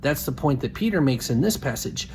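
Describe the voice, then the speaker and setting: A man's voice: medium pitch, animated, brisk, darkish tone. Blunt man over noticeable background noise. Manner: blunt, assertive. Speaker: puppet show host. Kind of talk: religious rebuttal, apologetics.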